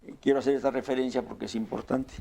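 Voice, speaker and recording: A man hosting a religious talk show, speaking earnestly in a measured way with frequent fillers. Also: mid-range voice, steady tone, creaky, mellow. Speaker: host.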